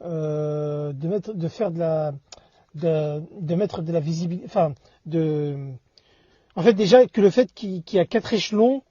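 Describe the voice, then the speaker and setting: A man in casual discussion, talking haltingly and often repeating and rephrasing himself. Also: fairly high pitch, warm tone, slightly rough, no room sound. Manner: halting, repeats and reformulates phrases. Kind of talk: casual two-person discussion. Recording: dull lo-fi audio.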